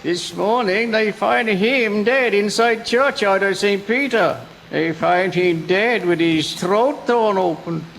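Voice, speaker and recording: An actor playing a grim foreign villager with bad news, speaking broken English in a radio drama. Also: male voice, sits high, slow pace, vocal fry, few pauses, fairly bright tone, slight background noise, heavily acted.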